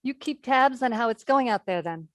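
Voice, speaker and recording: Actress as a high-voiced, patient woman therapist. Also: lively intonation, moderate pace, no room sound, lightly acted. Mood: calm, concerned. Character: attentive, patient woman therapist checking on clients. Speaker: actor in a staged play reading. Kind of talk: naturalistic dramatic dialogue, read online.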